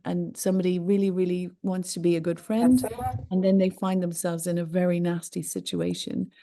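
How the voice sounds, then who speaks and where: A woman's voice: medium pitch, warm tone. A woman with an Irish accent, answering interview questions earnestly and with emphasis, often repeating words for stress. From Ireland. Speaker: interview guest.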